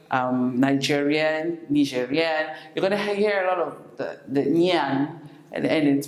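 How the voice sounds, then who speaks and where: A woman teaching an English lesson, explaining step by step and repeating example words slowly for learners. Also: deep voice. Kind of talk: recorded classroom lesson. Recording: echoey room.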